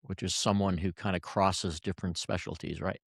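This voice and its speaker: A man's voice: deep voice, little pitch movement, moderate pace, vocal fry, husky, dry recording, clean studio sound. A man who hosts a podcast and works as a doctor, talking to his guest in a friendly, conversational way with frequent fillers. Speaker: podcast host and physician. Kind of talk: podcast interview.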